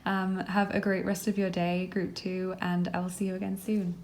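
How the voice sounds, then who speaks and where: Young woman, tarot reader, croaky dry voice, mellow tone, flat even delivery, indoor table. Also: fairly low pitch, normal speed. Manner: soft, reassuring, many fillers. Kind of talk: pick-a-card tarot reading to camera.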